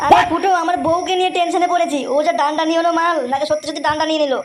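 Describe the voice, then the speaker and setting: A performer voicing a crude, anxious, jealous husband in a comic cartoon dub, with an excitable manner. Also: extremely high pitch, racing, a little hiss or hum. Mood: anxious grumbling.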